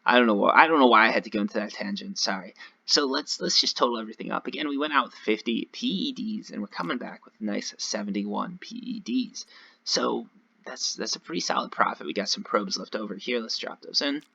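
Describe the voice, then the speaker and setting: A low-voiced, slightly hoarse man with a raspy edge chats through a gameplay video. He rambles conversationally and speaks straight to his viewers. The recording sounds a little dull and lo-fi. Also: a little fast, sharp tone. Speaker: gaming video creator. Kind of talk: casual gameplay commentary. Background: voice-over recorded over gameplay.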